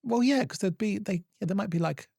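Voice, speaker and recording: Playful man, slightly high, dry sound. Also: a little fast, gravelly, dark tone, no room sound. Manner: playful, teasing, swears freely. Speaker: podcast co-host. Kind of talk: casual chat.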